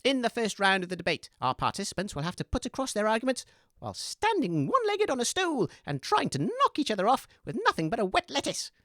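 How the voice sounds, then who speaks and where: A woman's voice: fairly high pitch, wildly swooping pitch, fast talker, a bit bright, no room sound, clean studio sound. Wildly swooping, showy debate host acted by a woman. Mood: theatrical. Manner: theatrical announcing. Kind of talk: comedy audio sketch hosting.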